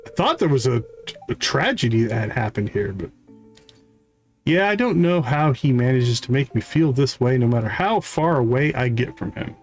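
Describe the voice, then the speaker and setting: A man's voice: medium pitch, animated, moderate pace. A man giving casual gameplay commentary as a game streamer. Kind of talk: casual gameplay commentary, reading dialogue aloud. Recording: music playing behind the voice. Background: game music.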